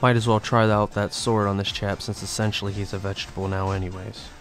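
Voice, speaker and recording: A man's voice: lowish voice, flat delivery, quick pace, lightly acted. A man voicing a cold, goading inner voice. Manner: formal, cool, goading. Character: cold, calculating male inner-voice companion. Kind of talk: amateur audio drama acting. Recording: faint background music.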